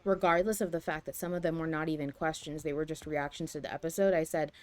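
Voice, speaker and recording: Smooth, low-voiced woman co-hosting a podcast. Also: steady tone, quick pace. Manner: hesitant, self-deprecating. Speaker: podcast co-host. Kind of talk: casual podcast discussion.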